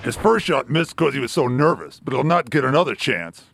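A man voicing a huge monstrous man, gruff and gravelly, weary and scornful, in an amateur superhero radio play. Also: medium pitch, sing-song, brisk, a crowd in the background. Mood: angry scornful. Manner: grumbling, melodramatic.

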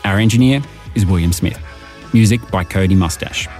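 A man hosting a podcast, reading scripted narration in a lively, emphatic way. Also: deep voice, moderate pace. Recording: music bed under narration.

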